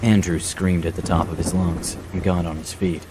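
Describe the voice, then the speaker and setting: Really deep male voice narrating a scary story, bit of background noise behind him. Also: flat delivery, normal speed. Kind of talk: scripted horror story narration.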